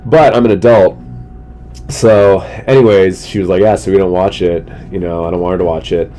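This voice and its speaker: A man's voice: fairly even intonation, normal speed, dark tone. A middle-aged man with a stuffy, congested, sick-sounding voice, talking loosely and casually as a solo podcast host, with slangy asides. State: sick, congested, losing his voice, throat-clearing. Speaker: comedian hosting a solo podcast. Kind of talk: casual rambling monologue. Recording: noticeable background noise with low rumble.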